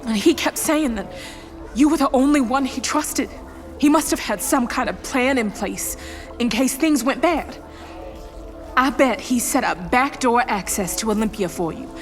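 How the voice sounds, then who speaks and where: A woman playing a sharp-tongued, defiant small-town scientist with a folksy, commanding manner in an audio drama. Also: a little high, expressive, unhurried, faint music behind. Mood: confiding.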